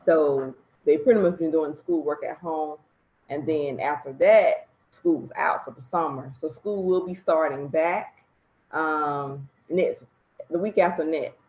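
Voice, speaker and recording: A man, a parent on a webinar panel, talking in a relaxed way with many fillers over a video call. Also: on the high side, unhurried. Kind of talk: casual panel chat. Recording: echoey room, dull sound.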